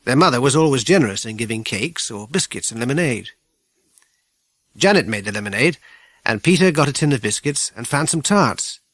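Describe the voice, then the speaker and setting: A man with a British accent reading a children's audiobook in measured, clearly phrased storytelling. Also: mid-range voice, expressive, fairly bright tone. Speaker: audiobook narrator. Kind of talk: scripted children's story narration.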